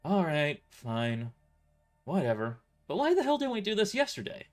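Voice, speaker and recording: A man's voice: a little high, wildly swooping pitch, moderate pace, faint music behind, pitched above the performer's own voice. A man playing a grumpy young mercenary in an audio drama, irritable, sarcastic and foul-mouthed. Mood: exasperated.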